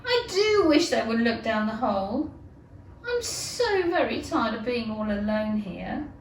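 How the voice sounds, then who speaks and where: Woman acting a fretful, high-voiced little storybook girl. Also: sing-song, unhurried, echoey room, distant mic, a little hiss or hum, rough recording. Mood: tearful, lonely. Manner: plaintive, dramatic, talking to herself.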